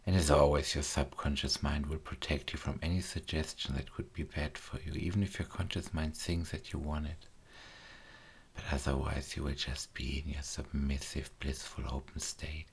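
A man speaking as a hypnotist in a trance recording, slow and soft-spoken, often sinking into whispers with coaxing, repetitive phrasing. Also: extremely low pitch, steady tone, vocal fry, husky.

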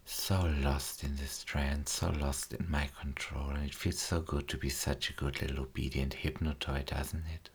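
Man, hypnotist, trance recording, soft half-whispered delivery, flat low drone. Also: husky. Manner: slow, soft-spoken, drifts into whispers; repetitive, coaxing.

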